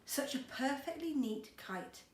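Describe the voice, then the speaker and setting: Casting: female teacher for storytime, higher-pitched, expressive, chatty asides, roomy recording with faint noise. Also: unhurried. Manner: expressive, encouraging, speaking to children. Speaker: schoolteacher reading storytime. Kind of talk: children's storybook read-aloud with chatty asides.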